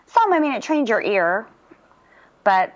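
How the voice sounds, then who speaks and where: A woman voice actor chatting in an interview, lively and talkative with many fillers. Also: on the high side, measured pace, lo-fi sound. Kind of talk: casual interview chat.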